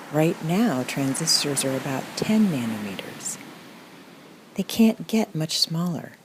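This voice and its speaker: A woman narrating a scripted parody guided meditation in a calm, soothing, deliberate deadpan. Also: low-pitched, a little hiss or hum.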